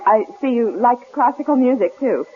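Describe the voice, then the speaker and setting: A woman's voice: a little high, animated, moderate pace, close to an everyday voice. Tense, suspicious woman, played by an actress. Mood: probing, careful. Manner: tense, insistent, guarded. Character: frightened, sharp-witted woman traveler, suspicious and urgent. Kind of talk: radio drama acting. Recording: lo-fi old radio recording, faint hiss.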